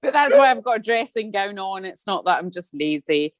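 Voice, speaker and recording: A woman's voice: medium pitch, expressive, fairly quick. A woman with a Scottish accent chatting informally and self-deprecatingly as she introduces herself on a video call. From Scotland. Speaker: Pilates studio owner. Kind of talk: casual self-introduction at an online meeting.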